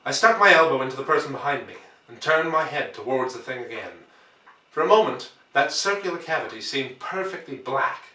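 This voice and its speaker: A man reading a classic novel aloud as dramatic narration, with measured, theatrical phrasing. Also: mid-range voice, lively intonation, bright, forceful tone. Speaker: audiobook reader. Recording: roomy echo, distant microphone.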